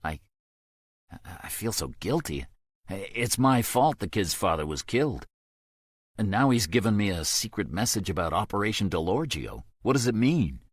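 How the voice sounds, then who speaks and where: Low-voiced guy playing a shaken guy, urgent and guilty, dry close sound. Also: big pitch swings, normal speed, husky, dry recording. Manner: urgent, emotional. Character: tense man, shaken and guilt-ridden.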